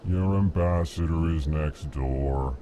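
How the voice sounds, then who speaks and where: A very deep, slow alien diplomat. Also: male voice, background noise. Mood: reproachful. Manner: slow, measured, scolding. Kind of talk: video game voice acting. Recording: game audio with background music.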